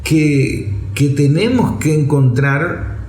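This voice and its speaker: High-voiced man, echoey political commentary. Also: slow, a little mellow. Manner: emphatic, didactic, repeats phrases for effect. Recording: echoey room with music underneath. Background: music.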